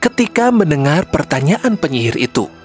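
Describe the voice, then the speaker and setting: Animated woman narrating a children's story. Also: low-pitched, moderate pace, soft background music.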